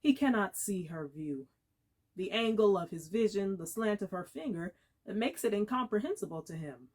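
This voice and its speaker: A woman giving a dramatic literary reading, measured and expressive, with deliberate pauses. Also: medium pitch. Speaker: actor reading aloud.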